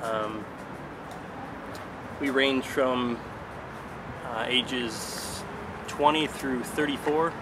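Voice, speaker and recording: A young man in his twenties, a landscape architecture student, introducing the group hesitantly with many "um" pauses. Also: mid-range voice. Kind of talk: on-site interview. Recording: loud outdoor traffic noise.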